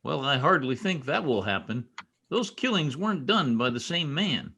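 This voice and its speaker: Guy doing joking easygoing cop, old radio play, kinda raspy, bouncy intonation. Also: medium pitch, sing-song, normal speed, bright, forceful tone, heavily acted. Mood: matter-of-fact. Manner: teasing, casual. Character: joshing, easygoing state trooper. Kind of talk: old-time radio drama acting.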